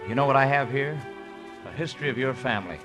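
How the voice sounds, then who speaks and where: An American actor playing an easygoing, gently teasing young romantic lead in a 1950s radio musical comedy. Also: male voice, mid-range voice, expressive, fast talker, music in the background, natural voice. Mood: gentle, warm. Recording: vintage 1950 radio broadcast recording.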